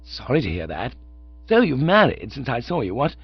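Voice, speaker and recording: A man voicing a cheerful young upper-class gentleman who chatters in breezy, chirpy slang. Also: mid-range voice, huge pitch swings, moderate pace, gravelly, husky, dry recording, pitched above the performer's own voice, heavily acted. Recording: dull lo-fi dry recording.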